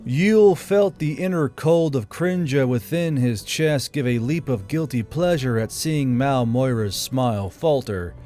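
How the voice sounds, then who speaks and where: A man's voice: medium pitch, a little slow, few pauses, warm tone. A man narrating and hosting a self-produced fiction audiobook channel. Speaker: narrator and channel host, amateur author. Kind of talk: audiobook narration and informal member shout-outs. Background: background music.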